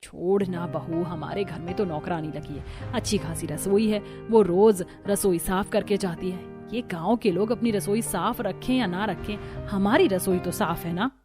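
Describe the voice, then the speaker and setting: A woman voicing the family characters of a cartoon story with expressive household dialogue. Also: lowish voice, a little slow, few pauses. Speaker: voice actor for several characters. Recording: voice over clear background music.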